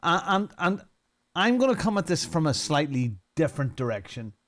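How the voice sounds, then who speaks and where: A man's voice: fairly high pitch, sing-song, moderate pace, fairly bright tone. A man in his fifties with a Northern Irish accent, giving casual, unscripted first impressions of a racing game in a chatty, informal way. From Northern Ireland. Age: 50s. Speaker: sim racing streamer and community head.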